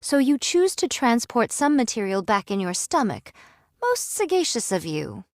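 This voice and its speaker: A eccentric young android from an anime game, high in pitch and very dry in sound. She speaks with solemn, theatrical grandeur, in archaic and formal turns of phrase. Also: very animated, moderate pace, no echo. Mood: pleased, grand.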